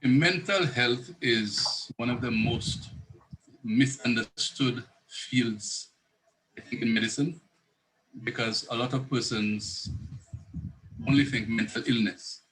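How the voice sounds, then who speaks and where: Male psychiatrist in his thirties, bright voice, careful and self-correcting. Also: medium pitch, slow pace, low-quality recording. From Saint Lucia. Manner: earnest, self-correcting, rephrases as he goes. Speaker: psychiatrist guest. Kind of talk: explanatory interview talk.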